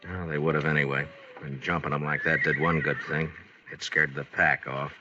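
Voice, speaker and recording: An actor playing a steady, tough, wry American frontier marshal, terse and commanding, in an old-time radio western. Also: male voice, a bass voice, moderate pace, muffled recording. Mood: grim, commanding. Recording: noisy old radio recording.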